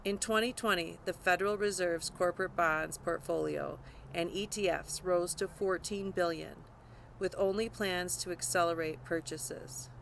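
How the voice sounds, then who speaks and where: A woman's voice: fairly low pitch, normal speed, gravelly, a bit bright, slight background noise, rough recording. A woman narrating a scripted nonfiction audiobook, reading aloud.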